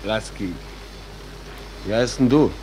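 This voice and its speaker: A man's voice: on the low side, slow, a little mellow. A man playing a weary, blunt stokehold worker, laconic and matter-of-fact, in a 1940s radio play. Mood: resigned. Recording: slightly noisy vintage radio recording.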